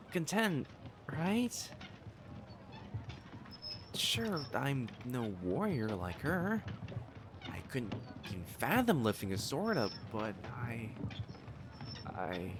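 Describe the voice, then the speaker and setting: A man's voice: mid-range voice, background noise. A man playing a pompous, easily flustered young divine matchmaker in a romantic audio roleplay, speaking formally and stammering. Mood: insecure, wistful. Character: haughty, flustered, lovestruck young male divine matchmaker in imperial China.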